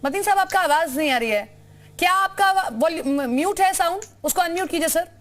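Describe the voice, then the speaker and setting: High-voiced woman news anchor. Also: animated, a bit bright, a little hiss or hum. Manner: brisk, formal. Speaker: news anchor and debate moderator. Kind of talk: TV news debate hosting.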